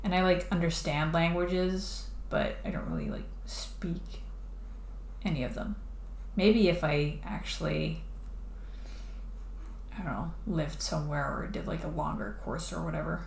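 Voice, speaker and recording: Casting: woman streamer, lowish voice, rambling casual chat, echoey room with faint hiss. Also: expressive, measured pace, vocal fry, darkish tone. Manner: rambling, lots of "like" and restarts. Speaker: streamer drawing a comic. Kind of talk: casual chat while drawing.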